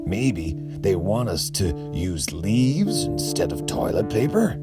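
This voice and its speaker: A serious, thoughtful detective from a children's storybook, as a man reads him aloud. He speaks in a low, slow, measured voice and thinks things through aloud. Soft guitar plays underneath. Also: huge pitch swings, heavily acted. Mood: pondering. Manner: measured, pondering, clipped.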